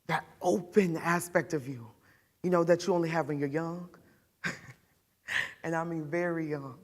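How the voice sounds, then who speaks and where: A woman playing an embittered, wounded mother in a dramatic stage monologue, halting and defensive, throwing out pointed questions. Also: sits low. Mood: tense, subdued. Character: embittered, wounded mother deciding her attacker's punishment.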